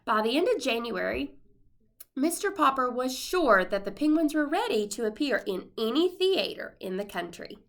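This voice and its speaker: A woman's voice: high-pitched, normal speed. A woman reading a children's storybook aloud expressively, like a teacher addressing young pupils. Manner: expressive, voices the characters' dialogue.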